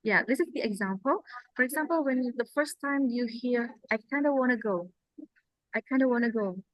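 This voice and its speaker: Female lecturer, Indonesian accent and native Indonesian speaker, higher-pitched voice, dry close sound, halting explanations over slides. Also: dry recording, poor audio. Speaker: lecturer presenting at a language-learning seminar.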